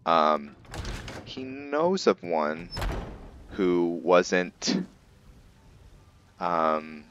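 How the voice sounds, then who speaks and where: Very deep-voiced man, slow gameplay commentary. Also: big pitch swings, fairly bright tone, slight background noise. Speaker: gaming roleplay host and player. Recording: dull muffled mic with faint music.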